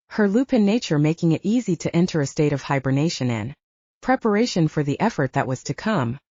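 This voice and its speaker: A woman reads first-person fiction in a slightly low, expressive voice. The sound is very dry and close, a little dull in the top end, as an audiobook narrator would deliver it. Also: dry recording.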